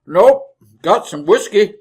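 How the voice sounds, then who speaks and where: An actor playing a garrulous, folksy wagon driver in a radio western, with an American Western accent and colorful rustic slang in a husky voice. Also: male voice, high-pitched, animated, takes it slowly, darkish tone, full character voice. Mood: astonished. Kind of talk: old-time radio western acting.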